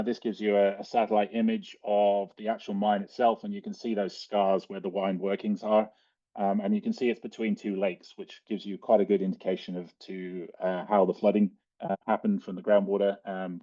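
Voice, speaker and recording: A man with an Australian accent giving an informal slide presentation in a halting, hesitant way, with frequent ums. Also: fairly low pitch, warm tone. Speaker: guest speaker, cave and mine diver. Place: online video call. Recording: dull, lo-fi online call audio.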